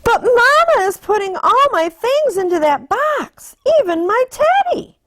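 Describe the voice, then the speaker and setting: A woman voicing a plaintive, emphatic little girl bear cub from a storybook, with a squeaky childlike voice. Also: sing-song, unhurried, fairly bright tone, no echo, full character voice. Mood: indignant, pleading.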